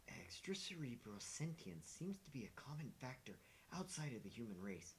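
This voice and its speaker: Someone voicing a deadpan scientist in a visual novel, with formal, matter-of-fact delivery. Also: medium pitch, unhurried. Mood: clinical. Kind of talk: character voices read aloud for a visual novel. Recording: faint background hiss.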